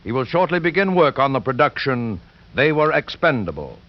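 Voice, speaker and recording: A man's voice: medium pitch, very animated, normal speed. A man announcing a 1940s radio show in a formal, dramatic scripted style. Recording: dull 1940s radio broadcast, faint music.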